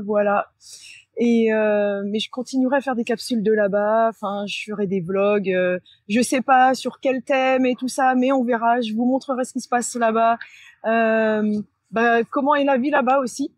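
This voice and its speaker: A woman with a slightly husky voice talks casually to viewers, explaining things in a halting way with self-corrections. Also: on the high side, steady tone, dry recording. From France. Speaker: channel host and spiritual coach. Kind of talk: casual vlog talk to viewers. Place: outdoors beside a large tree near a road.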